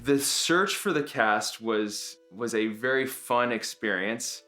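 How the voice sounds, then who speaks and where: A man, a producer, recounting casting stories in an animated way. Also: mid-range voice, takes it slowly, faint music behind. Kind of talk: featurette interview.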